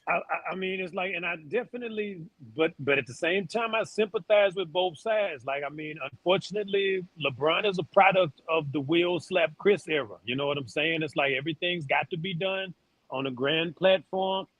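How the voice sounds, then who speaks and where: An American man with a husky, slightly high voice debates sports as a panelist. He is blunt and slangy, with repeated starts to his phrases. The sound is dry and close. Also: moderate pace, fairly bright tone, no echo, poor audio. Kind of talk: casual, candid sports debate.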